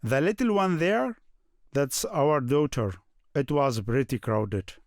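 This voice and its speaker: A man's voice: mid-range voice, animated, slow pace, a little mellow, no room sound, clean studio sound. A man voicing a Syrian husband and father recalling family life in scripted first-person narration. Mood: reflective.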